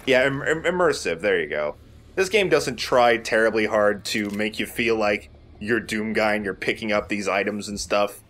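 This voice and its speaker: A man's voice: fairly low pitch, animated, moderate pace, a bit bright, a little hiss or hum. A man giving casual commentary as he plays and hosts a video game let's play. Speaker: gaming let's play host, player.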